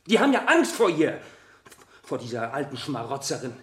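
A man in a German radio drama playing an evasive, scornful witness in court, bitter and halting. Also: mid-range voice, very animated, echoey room, natural voice. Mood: scornful, defiant.

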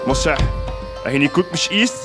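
An actor playing a boozy, lecherous village farmer with a Flemish accent, tipsy and rambling in a radio comedy drama. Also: male voice, fairly high pitch, lively intonation, moderate pace, loud music behind the voice, natural voice. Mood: drunken, leering.

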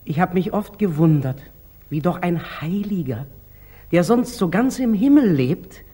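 Slow, husky, humble lay brother. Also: male voice, sits high, lively intonation, warm tone, heavily acted. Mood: sly, hesitant. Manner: hesitant, meek, deliberate. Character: humble, artless, obedient monastery lay brother. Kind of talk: 1940s German radio stage acting.

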